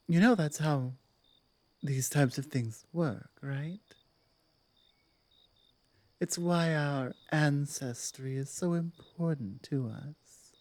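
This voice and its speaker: Slow, low-key woman as a commanding werewolf leader. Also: a little mellow, no echo, full character voice. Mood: earnest, explaining. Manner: measured, deliberate, halting phrases with weighty pauses. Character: dominant but softening female werewolf pack leader. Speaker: audio roleplay performer. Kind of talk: intimate ASMR roleplay acting.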